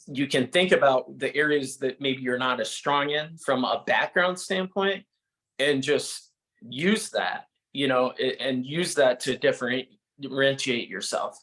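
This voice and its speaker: A man's voice: medium pitch, fairly bright tone, low-quality recording. A man who works as a venture capitalist, giving casual, filler-heavy advice to founders. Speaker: venture capitalist, panel speaker.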